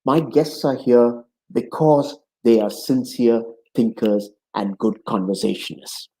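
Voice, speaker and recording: A man in his sixties hosting a conversation show, speaking in an earnest, measured way from his home office. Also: mid-range voice, mellow, low-quality recording. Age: 60s. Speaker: host. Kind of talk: hosted conversation opening monologue.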